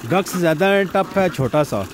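A man casually narrating a village vlog about his ducks, in a relaxed, chatty way. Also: high-pitched, moderate pace, background noise. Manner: relaxed, chatty, says "ji" often. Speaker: vlogger. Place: outdoor village yard with ducks.

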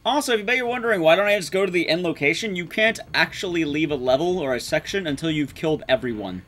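A man's voice: a little high, lively intonation, fast, a bit bright. A young man giving casual, wry gameplay commentary to his chat, informal and sweary. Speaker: streamer, speedrunner.

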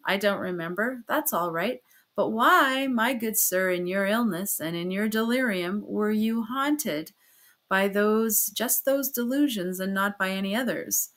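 A woman's voice: mid-range voice, fairly bright tone, lightly acted. A woman voicing a sly male examining lawyer in an audiobook, with an ironic, needling, falsely good-humored manner. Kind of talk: dramatized audiobook character reading.

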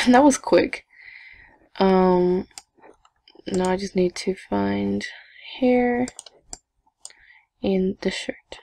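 A young woman chatting casually to viewers while she builds a game avatar, talking to herself with giggly asides in a low evening voice. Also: drawn-out words, creaky, long pauses, darkish tone. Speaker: gaming vlogger. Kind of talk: casual screen-recording commentary.

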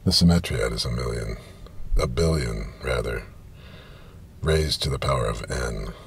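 Male reader, 50s, extremely low and creaky, deliberate halting delivery of literary prose, light ambient noise inside a car. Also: lively intonation. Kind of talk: literary reading of science fiction prose. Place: inside a parked car, small space.

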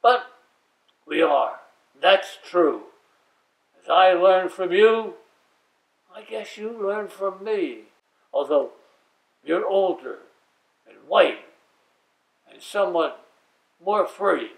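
An elderly man in his eighties reading poetry aloud with deliberate, expressive phrasing and pauses, seated in a room at home. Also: sits high, gravelly. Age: 80s. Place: small domestic room indoors, seated at a wooden table. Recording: roomy, echoing, slightly distant microphone.

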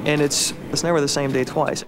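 A man's voice: a little high, fairly even intonation, fairly quick, over music, low-quality recording. An American man, an air traffic controller, talking conversationally in an interview. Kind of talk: interview and work talk. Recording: loud background ambience, aircraft noise.

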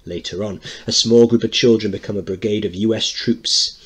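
A man's voice: fairly low pitch, fairly even intonation, normal speed, breathy edge. A man teaching through narration and commentary on a play, putting rhetorical questions to his listeners. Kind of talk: dramatic reading with explanatory commentary.

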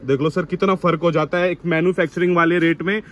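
A man's voice: on the high side, fairly even intonation, quick pace, fairly bright tone. A man hosting a casual shop tour, eagerly prompting and addressing viewers with repeated interjections. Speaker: vlog host touring a wholesale shop. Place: busy open-fronted market shop. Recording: clear street and market background noise.

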